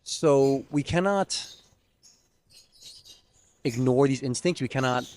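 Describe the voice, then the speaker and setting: An American-born man, an acrobatic yoga teacher, explaining his ideas earnestly as a podcast guest, slowing on key words. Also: mid-range voice, a little mellow. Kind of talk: casual conversation.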